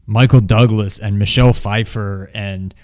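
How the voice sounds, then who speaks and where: A man's voice: lowish voice, fairly even intonation, normal speed. Casual man chatting as a review co-host. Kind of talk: casual chat, film discussion.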